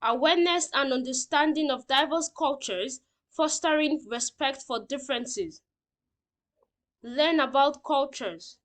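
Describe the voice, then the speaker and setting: A woman's voice: high-pitched, unhurried, a bit bright. A woman teacher reading out lesson points and explaining them simply, often checking that learners understand.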